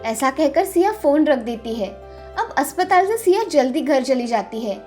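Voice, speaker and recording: A woman's voice: high voice, animated, moderate pace, darkish tone, faint music behind. A woman storyteller reading scripted narration for a moral tale.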